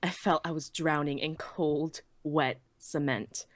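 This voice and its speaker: A woman playing a small, anxious young female pony who narrates her own story in an expressive, earnest way. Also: sits low, slow, no echo. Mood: tense, dread. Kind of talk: dramatic first-person story narration.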